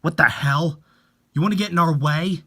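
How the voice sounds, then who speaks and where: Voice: mid-range voice, normal speed, fairly bright tone, husky. A performer voicing an angry young fan club member, aggressive and accusing, in a horror manga reading. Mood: menacing.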